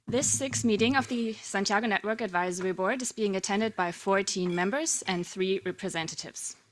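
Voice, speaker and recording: A woman's voice: mid-range voice, rough recording. Woman chairing a formal board meeting. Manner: measured, formal, reads prepared remarks.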